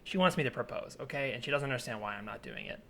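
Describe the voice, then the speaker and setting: A man improvising an earnest, obsessive man in his twenties in a naturalistic comedy scene, speaking haltingly with pleading intensity. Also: medium pitch, huge pitch swings, creaky, sharp tone, full character voice. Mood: hesitant, confessional. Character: earnest obsessive 27-year-old man haunted by flip-flops. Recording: remote recording with added ambient soundscape noise.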